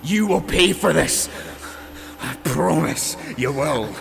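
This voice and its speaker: A man's voice: a little high, takes it slowly, vocal fry, a crowd in the background, exaggerated voice. An actor playing a bitter, proud king in an audio drama, brooding and intense, with music behind him. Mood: enraged. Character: bitter, proud young king hungry for godlike power.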